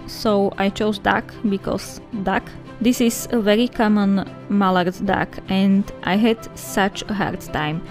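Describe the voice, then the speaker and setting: A woman's voice: mid-range voice, darkish tone. A woman with a Slovak accent, a native Slovak speaker, chatting in a friendly, casual way as she flips through her sketchbook for viewers. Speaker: art vlogger.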